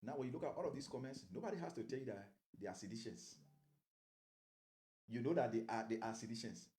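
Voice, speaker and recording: Middle-aged host, warm mellow tone, measured delivery. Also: male voice, medium pitch. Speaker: talk show host. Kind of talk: reading and discussing viewer comments. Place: studio. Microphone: headphones with desk mic.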